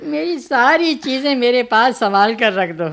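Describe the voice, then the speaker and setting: An actor playing a sick, querulous old father in a radio drama, in a thin, creaky voice with plaintive grumbling. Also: very high voice, animated, measured pace, a bit bright, exaggerated voice. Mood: querulous, sarcastic. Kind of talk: Hindi radio drama acting.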